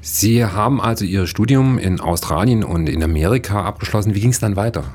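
A male interviewer with a fairly low voice asks polite follow-up questions. He often hedges with "äh" and "ähm" as he works toward each question. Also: a little fast, a little mellow, slightly rough. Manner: polite, probing questions with fillers.

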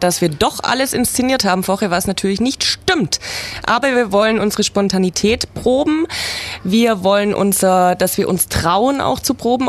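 A woman who leads an improv theater club, talking chattily and enthusiastically on the radio. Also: medium pitch. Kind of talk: radio interview.

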